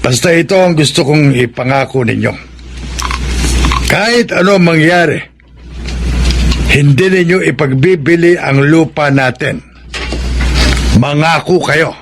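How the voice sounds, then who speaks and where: A Filipino actor playing a proud, stubborn old farmer grandfather in a radio drama, creaky, firm and grave. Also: fairly high pitch, a little slow, long pauses, background noise. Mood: worried, solemn. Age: older.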